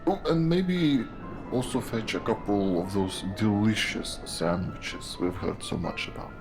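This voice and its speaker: A man's voice: lowish voice, very animated, measured pace, over music. A man playing a chatty, hungry deputy sidekick with an ingratiating manner in an audio drama. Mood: eager.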